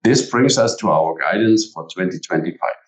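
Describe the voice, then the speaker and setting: Give the voice of a low-pitched man, a company executive delivering a scripted earnings presentation. He speaks formally, as if reading from prepared text. The recording is echoey and sounds some distance from the microphone. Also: lively intonation, normal speed. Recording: echoey room, distant from mic.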